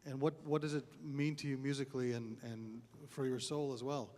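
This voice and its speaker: A man hosting an informal on-stage interview, speaking in a somewhat halting way with repeated words. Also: mid-range voice, level tone, darkish tone. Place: on stage in a concert hall. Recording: reverberant concert hall.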